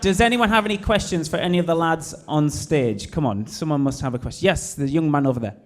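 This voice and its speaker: A man's voice: a little high, quick pace. A man hosting a live stage Q&A with footballers, jokey and teasing. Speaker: event host.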